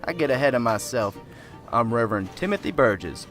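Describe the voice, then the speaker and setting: A man's voice: mid-range voice, animated, brisk, a bit bright, faint music behind, natural voice. An actor playing a talkative, affable male small-town pastor in an amateur radio drama. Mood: genial. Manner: effusive, self-deprecating.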